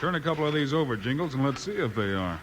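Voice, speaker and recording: Casting: man to play unruffled, firm cowboy lawman, average-pitched, plain-spoken, old-time radio western recording. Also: animated, lightly acted. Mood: cool, probing. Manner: measured, cool, plain-spoken. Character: calm, steady, firm western lawman hero. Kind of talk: 1950s radio western acting. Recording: noisy 1950s radio recording.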